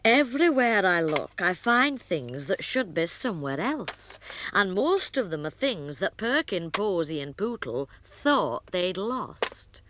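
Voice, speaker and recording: A woman's voice: fairly low pitch, sing-song, slow, background noise, pitched above the performer's own voice. A woman voicing a patient, motherly puppet mum in a children's show. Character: motherly furry puppet mum, busy tidying.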